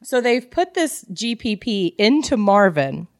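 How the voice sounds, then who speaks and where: A woman co-hosting a podcast, chatting casually and in an animated, geeky way about a book. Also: medium pitch, measured pace, no room sound, clean studio sound. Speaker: podcast co-host. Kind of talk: casual book club chat, reading out quotes.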